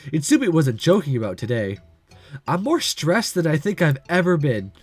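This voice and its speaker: A man reading a visual novel aloud, lively, clearing his throat now and then. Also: on the high side, moderate pace, faint music behind. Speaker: let's-play reader.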